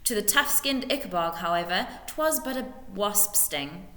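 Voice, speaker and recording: A woman's voice: medium pitch, animated, normal speed, sharp tone. A woman voicing a scheming, bullying male lord in a storybook reading, with snarled orders and oily false sympathy. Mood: grave, pompous. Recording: echoey room with long reverb.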